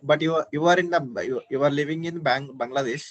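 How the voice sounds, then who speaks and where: A man in his twenties from South India with an Indian English accent, chatting on an online call to practise his English, halting and searching for words as he asks questions. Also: on the high side. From Hyderabad, South India. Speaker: conversation partner practising English. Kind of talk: casual online video call chat.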